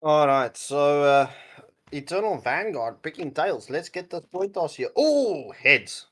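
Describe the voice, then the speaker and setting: A man's voice: a little high, sing-song, a little slow, fairly bright tone. A man casting a mobile esports match, joking and laughing often between calls. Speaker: esports caster. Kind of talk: casual esports commentary.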